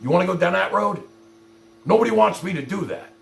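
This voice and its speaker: Exasperated, gravelly, high-pitched man ranting. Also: expressive, fairly quick. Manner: profane, exasperated, confrontational; addresses viewers directly with repeated rhetorical questions. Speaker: livestream host. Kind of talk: ranting livestream monologue to viewers.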